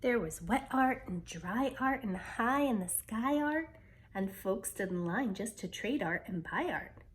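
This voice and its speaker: A woman reading a children's picture book aloud with expressive, dramatic storytelling delivery. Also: fairly high pitch, unhurried.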